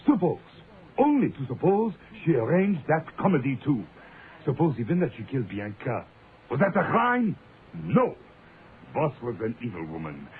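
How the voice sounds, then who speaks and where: An actor playing a proud, defiant foreign man in a radio mystery, speaking in formal, emphatic, stilted phrases. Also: mid-range voice. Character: proud, defiant, intense foreign man protecting his sister. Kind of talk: radio mystery drama acting. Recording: narrow, tinny, noisy old-time radio recording.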